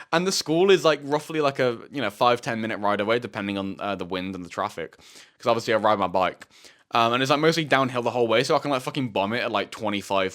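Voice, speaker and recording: A seventeen-year-old man telling a rambling, casual story, with a fairly deep voice, swearing often and saying "bro" and "like" a lot. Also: fairly bright tone. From United Kingdom. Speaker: self-improvement YouTuber.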